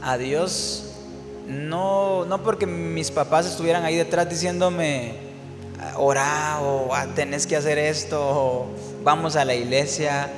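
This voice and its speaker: A man from Guatemala, a worship singer telling his story in a relaxed interview, reflective and full of fillers and restarts. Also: a little high, takes it slowly, in a large hall, music in the background.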